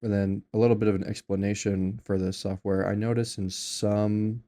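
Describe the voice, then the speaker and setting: Gravelly low-voiced man explaining software. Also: level tone, normal speed. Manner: loose and rambling, many fillers and restarts. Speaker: tutorial maker. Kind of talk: casual screen-recorded software tutorial.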